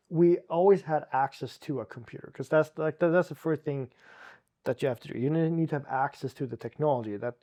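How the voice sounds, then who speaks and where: A man from Sweden with a Swedish accent, a guest telling his story in a relaxed chat, with frequent restarts and fillers. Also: on the high side. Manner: talks with many restarts and "you know" fillers.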